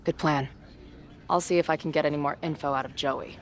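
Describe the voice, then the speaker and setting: Actress doing a wry quippy young woman in a video game, low voice, music behind her. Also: fairly even intonation, brisk, natural voice. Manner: sardonic, glib, quippy. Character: wry, bluffing young woman, band manager turned amateur sleuth. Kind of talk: naturalistic video game acting. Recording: music under the voice.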